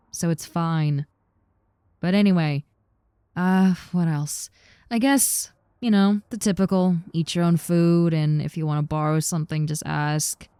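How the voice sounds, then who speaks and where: A woman acts out a giant but harmless young snake girl for a roleplay audio. Her voice is soft-edged and mellow, a little low, recorded dry and close. She talks like a casual roommate, teasing and reassuring the listener. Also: smooth, dry recording, natural voice. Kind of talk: roleplay audio with personal attention, conversational acting.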